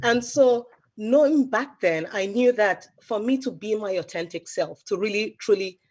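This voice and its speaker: Nigerian-accented woman, photographer on a panel, lively storyteller, mid pitch. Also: normal speed, slightly rough. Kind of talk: casual panel talk.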